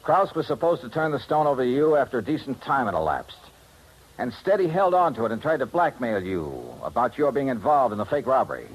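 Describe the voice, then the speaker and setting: A shrewd American private investigator from a vintage radio drama. His voice is husky and mid-pitched, swinging widely as he talks. The delivery is clipped, hardboiled and wry, on an old radio recording. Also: sing-song, a little fast, rough recording. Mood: accusing, probing. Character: tough, shrewd, wry male freelance insurance investigator. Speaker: lead radio actor.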